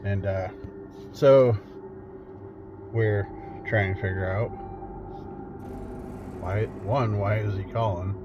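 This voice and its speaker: A man's voice: fairly low pitch, fairly even intonation, takes it slowly, long pauses, background noise. A middle-aged man casually telling a spooky personal story in a conversational way, as a paranormal investigator. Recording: dull lo-fi sound under loud music. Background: loud music.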